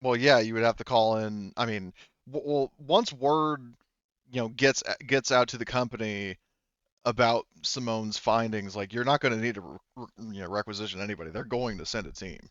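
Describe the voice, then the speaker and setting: A man's voice: fairly low pitch, normal speed, fairly bright tone, dry recording, dull audio. A man casually explaining things during a tabletop roleplaying game, in conversational, loosely built sentences.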